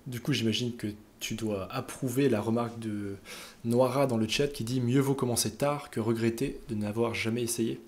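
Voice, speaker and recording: A man hosting a live stream interview over a headset, calmly reading out viewer questions. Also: mid-range voice, moderate pace. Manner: relaxed, reads viewer questions. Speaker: interview host.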